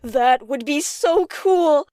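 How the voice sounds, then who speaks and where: A woman voicing an eager, curious young pony princess in an amateur cartoon audio drama, excitable and full of questions. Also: high voice, takes it slowly, no echo, studio quality. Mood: excited. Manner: excitable, inquisitive.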